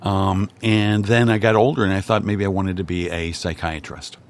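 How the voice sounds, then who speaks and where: A man's voice: low-pitched, steady tone, normal speed. A man from Cincinnati, a guest in casual chat, joking in a dry, self-deprecating way. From Cincinnati, Ohio.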